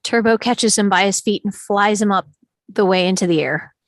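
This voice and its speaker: A woman's voice: medium pitch, moderate pace, no echo, clean studio sound. A woman reading stage directions as scripted narration at a table read, in a dramatic storytelling manner.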